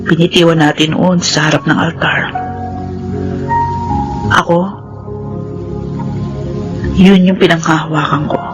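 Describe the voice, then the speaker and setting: Tender remorseful wife over music. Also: female voice, deep voice, flat delivery, unhurried, pauses often. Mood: tender, devoted. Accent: Filipino. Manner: earnest, tender confession. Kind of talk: Filipino radio drama acting.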